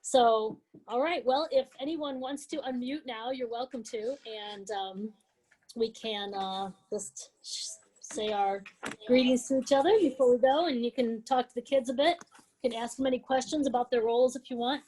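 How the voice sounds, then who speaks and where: A woman hosting an event on a video call, chatting casually and a little haltingly. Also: fairly high pitch, a little mellow. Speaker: event host and organizer working with children. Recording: noisy video-call audio with clicks.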